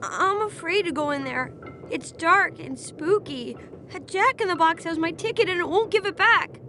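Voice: extremely high pitch, moderate pace, bright, forceful tone, slight background noise, heavily acted. An actor playing a timid, easily frightened little child in a video game, talking in a hesitant way. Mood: scared. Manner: hesitant, fearful. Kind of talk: video game cutscene acting.

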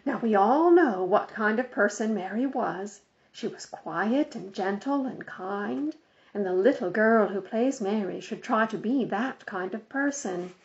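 A woman reading a storybook as a brisk, firm mother instructing children, on a slightly dull recording. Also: mid-range voice, close to an everyday voice.

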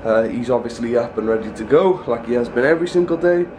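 A man's voice: medium pitch, animated, fast, background noise. Stuffy-nosed young man vlogging. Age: young adult. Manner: rambling, talks in loose run-on sentences. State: stuffy, congested nose and sore throat. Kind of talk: casual vlog chat. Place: small rooms in a house, indoors. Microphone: handheld camera at arm's length.

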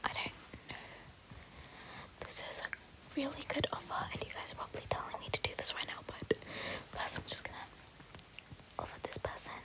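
A woman's voice: fairly quick, pauses often, low-quality recording. A young woman whispering rambling ASMR commentary over gameplay, often repeating words and phrases. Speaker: gaming video creator.

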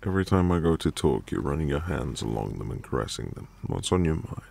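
Man acting as teasing dominant boyfriend, ASMR roleplay, low voice, heavy vocal fry, breathy murmurs, intimate hums. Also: sing-song, fast talker, darkish tone, exaggerated voice. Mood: playful, teasing. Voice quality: breathy, gravelly murmur often dropping to whisper. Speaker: audio roleplay performer.

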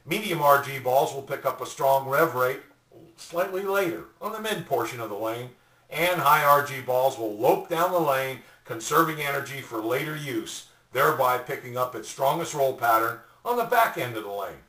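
An older man giving a scripted product explainer in a deliberate, formally worded way. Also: mid-range voice, lively intonation, bright, forceful tone. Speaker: presenter. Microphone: webcam a little way off. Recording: roomy echo, distant mic.

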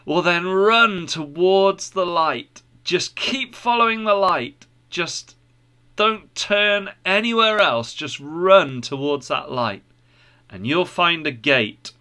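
A man's voice: high voice, lively intonation, slow, pushed and bright, pitched above the performer's own voice, heavily acted. A man playing a guiding evangelist in a storybook tale, giving earnest, instructive directions to the lost. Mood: earnest, urging. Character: calm, guiding evangelist pointing the lost to safety.

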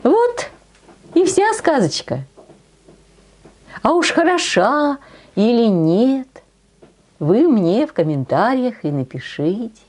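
An older woman telling a folk tale expressively, in an unhurried, folksy way with homely diminutives. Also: a little high, very animated, a little mellow. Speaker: storyteller on a channel. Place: small decorated room indoors.

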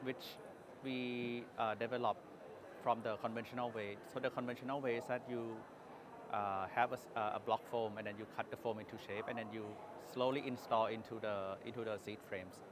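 A man's voice: medium pitch, steady tone, normal speed, a crowd in the background. A man in his thirties with a Thai accent, a company executive answering interview questions at an exhibition booth, hesitating often with fillers and restarts. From Thailand. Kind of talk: trade show interview. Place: exhibition hall booth, indoors.

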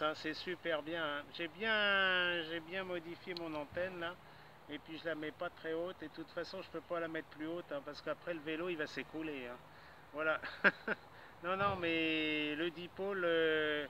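A man's voice: fairly high pitch, steady tone, moderate pace, pushed and bright. An older man chatting casually as an amateur radio operator outdoors, punctuating his phrases with little tag words. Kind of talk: casual ham radio exchange. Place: outdoors in a grassy park. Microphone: camera a few metres away, holding radio hand mic. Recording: outdoor noise behind voice.

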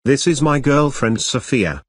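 A man voicing a young cartoon businessman in a slow, scripted language-lesson dialogue, saying each line clearly and twice. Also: mid-range voice, animated, no room sound, studio quality. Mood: plain.

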